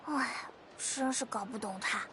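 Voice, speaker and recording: A woman voicing a small, curious, childlike fairy companion in a video game, with a squeaky, expressive voice. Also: normal speed, heavy background noise, lightly acted. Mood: puzzled. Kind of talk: Chinese video game voice acting. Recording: music behind the voice.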